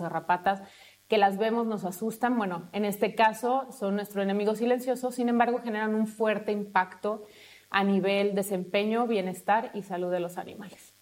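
A woman's voice: medium pitch, a little mellow. A woman academic, explaining her research fluently. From Mexico. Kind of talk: presentation to camera.

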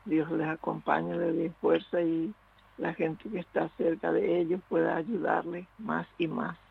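A man calling into a radio show, speaking slowly and earnestly, offering heartfelt wishes. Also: on the high side, level tone, gravelly, husky. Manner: slow, earnest, prayerful wishes. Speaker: radio caller. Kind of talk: phone-in chat. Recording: tinny phone line.

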